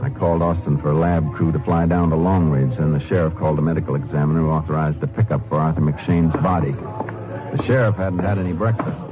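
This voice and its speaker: An American actor playing a calm, shrewd investigator, terse and matter-of-fact, in a 1950s radio police drama. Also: male voice, rumbling low, brisk, soft background music, low-quality recording. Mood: narrating, matter-of-fact. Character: calm, shrewd male Texas Ranger investigator. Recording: old 1950s radio recording, faint noise.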